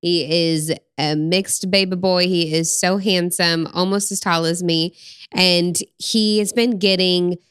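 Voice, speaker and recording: A woman's voice: lowish voice, fairly even intonation, takes it slowly, a bit bright, clear, resonant tone, no echo, clean studio sound. A woman in her thirties co-hosting a podcast, talking in an upbeat, chatty way and laughing easily. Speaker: podcast co-host. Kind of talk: casual chat.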